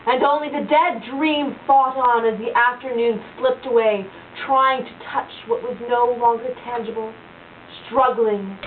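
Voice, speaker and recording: A woman reading the narration aloud in a measured storybook manner. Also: a little high, recorded from a distance. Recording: tinny lo-fi home recording with hiss.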